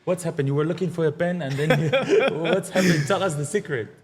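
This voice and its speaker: A man's voice: a little high, fairly quick. A man hosting a casual podcast interview. He speaks eagerly, gives short encouraging replies, and his grammar is non-native. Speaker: podcast host.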